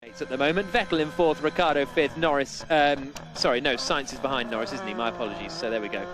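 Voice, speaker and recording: A man's voice: mid-range voice, fast, music in the background. A man hosting live motorsport radio commentary with casual, jokey banter, often correcting himself.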